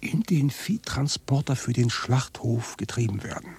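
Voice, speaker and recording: A very husky, breathy male farmer in a naturalistic radio drama. He has a dark, mellow tone and talks bluntly and bitterly, with a sardonic, evasive edge. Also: medium pitch, animated, unhurried, exaggerated voice. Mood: bitter, indignant. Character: bitter, evasive male contract calf fattener who knows the trade. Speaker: contract livestock farmer.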